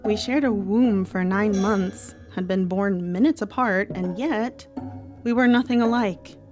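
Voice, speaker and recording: Troubled teen girl, played by a woman, telling her story in horror radio drama, measured delivery with dramatic pauses, music underneath. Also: mid-range voice, lightly acted. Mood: wistful, tender. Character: troubled teenage girl narrating, protective of her sister. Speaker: actor narrating. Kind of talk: first-person horror audio drama monologue.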